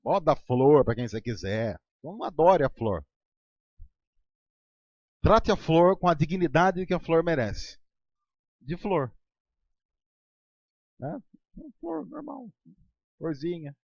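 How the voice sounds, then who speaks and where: A man's voice: on the high side, big pitch swings, slow pace, pauses often, darkish tone, no echo, dull audio. A man preaching a sermon as pastor in a Brazilian Portuguese accent, talking conversationally with sarcastic asides and ironic voices. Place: church hall.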